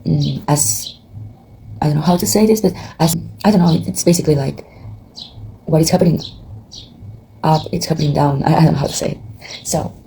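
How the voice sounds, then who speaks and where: A woman's voice: deep voice, normal speed, creaky, pauses often, mellow, a little hiss or hum. A woman with a Mexican Spanish accent, a tarot reader talking casually to her viewers, hesitant and tired, with many fillers and restarts. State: tired, has not slept. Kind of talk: casual chat to camera.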